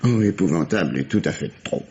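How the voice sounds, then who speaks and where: Vintage radio police inspector: low register, very mobile intonation, sly and patronising interrogator. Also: male voice, lively intonation, brisk, creaky, dark tone, slight background noise. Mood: mocking amusement. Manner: sardonic, teasing, condescending. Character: shrewd, sardonic police detective interrogating a suspect. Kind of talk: 1950s French radio mystery acting. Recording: dull, vintage radio drama sound.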